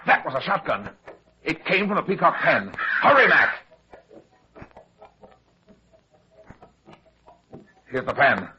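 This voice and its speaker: A man acting a quick-witted, take-charge private detective in an old-time radio drama, clipped and commanding as he explains his deductions. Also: a little high, very animated, background noise. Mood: urgent. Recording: dull, muffled old radio drama recording.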